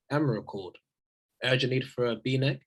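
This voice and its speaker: A man's voice: mid-range voice, a little slow, lightly acted, low-quality recording. A man acting an easygoing, teasing young hospital volunteer in a table read. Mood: teasing, relaxed.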